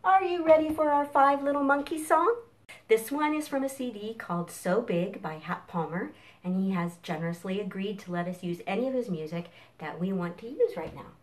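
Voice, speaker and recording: A woman's voice: mid-range voice, moderate pace. A middle-aged woman presenting a children's storytime as host, recorded in a home kitchen a few feet from the camera. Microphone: camera a few feet away.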